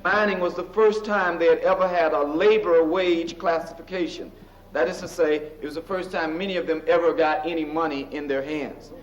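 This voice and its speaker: A man giving measured, explanatory historical commentary. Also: fairly high pitch, fairly even intonation. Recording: echoey, dull lo-fi.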